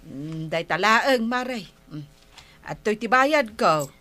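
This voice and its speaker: Woman acting a slow, bright, nosy village woman. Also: medium pitch, expressive. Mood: chatty, curious. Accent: Ilocano. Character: nosy, teasing village woman, friendly neighbour. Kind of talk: comedic radio-style drama acting.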